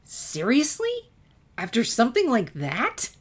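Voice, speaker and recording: A woman's voice: fairly high pitch, huge pitch swings, a little slow, slightly rough, pitched above the performer's own voice, heavily acted. A woman voicing a regretful teenage girl who confesses past scheming in a hesitant, reflective way, in a story reading. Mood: incredulous.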